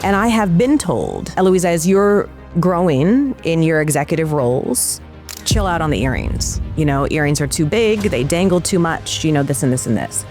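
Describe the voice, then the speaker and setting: A woman's voice: low-pitched, very animated, normal speed, a little mellow, faint music behind. A woman co-hosting a podcast, speaking with enthusiasm and frequent affirming interjections. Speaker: podcast co-host. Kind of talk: interview conversation.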